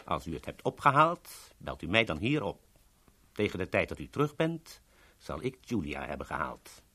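A lowish male voice with very lively rises and falls. This is a radio-play government agent, formal, calculating and deliberate in every phrase. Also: slightly rough, heavily acted. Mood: coolly businesslike. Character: calculating male government agent bargaining over a secret package. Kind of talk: 1970s Dutch radio drama acting.

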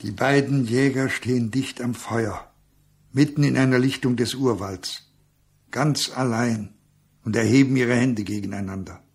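Slow, husky, grave narrator. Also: male voice, mid-range voice, fairly even intonation. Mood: solemn. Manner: solemn, measured. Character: grave narrator of a jungle tale. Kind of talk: poetic radio play narration.

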